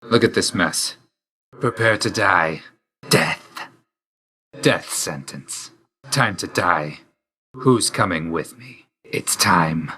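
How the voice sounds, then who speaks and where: A man's voice: low voice, lively intonation, slow pace, pauses often, pushed and bright, exaggerated voice. A man voicing a grim, reserved god of death in a video game, speaking tersely and solemnly with dry loyalty. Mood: grim, curt. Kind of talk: scripted video game voice lines.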